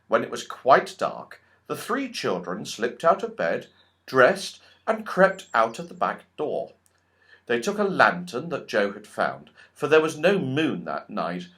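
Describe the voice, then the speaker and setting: A man reading a children's story as a narrator, with expressive, scripted storytelling. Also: mid-range voice, takes it slowly. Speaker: audiobook reader.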